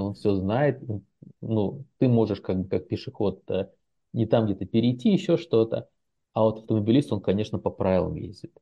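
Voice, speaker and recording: Male translator guest, low and gravelly, loose anecdotal talk, muffled lo-fi audio. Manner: relaxed storytelling with many fillers and restarts. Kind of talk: casual interview chat.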